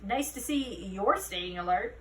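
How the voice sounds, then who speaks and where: A woman voicing a brisk, dryly sardonic female elf police captain in a storybook reading. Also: mid-range voice, expressive, close to an everyday voice. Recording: roomy, distant mic, faint noise.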